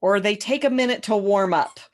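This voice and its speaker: A woman coach hosting a podcast, speaking in a calm, advising, affirming way. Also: mid-range voice, normal speed. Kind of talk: podcast conversation.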